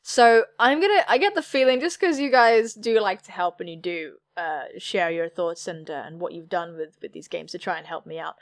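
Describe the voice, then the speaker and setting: A young woman hosting a gaming video with playful, animated, cheeky commentary. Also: lowish voice, moderate pace, hardly any pauses. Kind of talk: playful let's-play commentary. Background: music.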